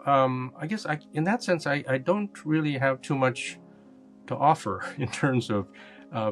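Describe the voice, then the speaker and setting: An American man giving reflective interview answers in a measured, halting, explanatory way. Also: mid-range voice. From Japan and California. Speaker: interview guest, government and business leader.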